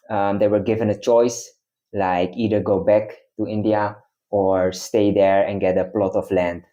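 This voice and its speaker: A man's voice: on the low side, mellow, dull audio. A young man from the Netherlands, a guest in casual chat, explaining at length with many hesitations.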